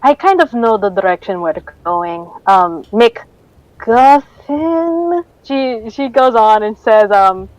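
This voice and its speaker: A woman roleplaying in a tabletop game, haltingly improvising narration and dialogue. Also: a little high, animated, no echo, rough recording. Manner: hesitant, halting.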